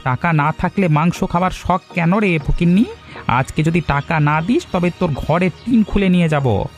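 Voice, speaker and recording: A man's voice: a little high, normal speed, soft background music, natural voice. A man voicing a hot-tempered, blustering village butcher in a Bengali cartoon. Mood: furious, threatening. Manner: blustering, tags threats with questioning "hyan". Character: hot-tempered, threatening village butcher, quick to take offence.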